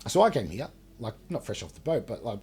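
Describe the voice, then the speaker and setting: A man's voice: medium pitch, sing-song, fairly quick, a little mellow, a little hiss or hum. A man co-hosting a casual podcast, talking informally and hesitantly, often repeating himself. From Wales, later Australia. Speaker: podcast host. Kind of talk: casual unscripted podcast discussion.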